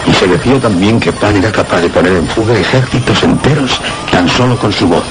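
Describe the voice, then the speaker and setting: An actor playing an erudite, grave scholar in a Spanish radio suspense drama, speaking Castilian Spanish in a measured, didactic way. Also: male voice, lowish voice, heavy background noise, close to an everyday voice. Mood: grave, ominous. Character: erudite male scholar friend, grave and explanatory.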